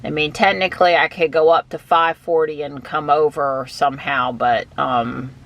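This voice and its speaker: A woman vlogging from behind the wheel of her car, chatting and thinking out loud. She has a stuffy nose from allergies. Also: deep voice, steady tone, moderate pace. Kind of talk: casual thinking aloud while driving. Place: inside a car while driving.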